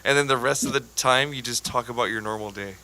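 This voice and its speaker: Bright-voiced, teasing man. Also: mid-range voice, fast talker, poor audio. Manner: teasing, dry joking. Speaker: podcast co-host. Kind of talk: casual chat.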